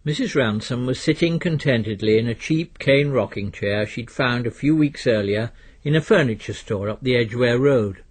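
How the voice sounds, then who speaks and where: A man reading literary fiction as a narrator, in a measured, precise and dry storytelling manner. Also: mid-range voice, slightly rough. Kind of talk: scripted literary audiobook narration.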